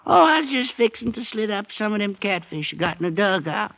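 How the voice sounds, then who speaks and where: Picture a high, gravelly old Southern freedman with a slow folksy drawl, humble on the surface but with something ominous under it. His voice comes through a muffled old radio broadcast. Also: sing-song, fairly bright tone, heavily acted. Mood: sly. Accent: American Southern. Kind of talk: 1940s radio acting.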